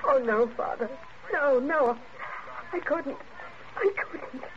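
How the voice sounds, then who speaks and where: An actress playing a fragile, timid grown daughter, hesitant and distraught, in 1940s radio drama style. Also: female voice, high voice, takes it slowly. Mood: pleading, distraught. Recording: muffled, very noisy old radio recording.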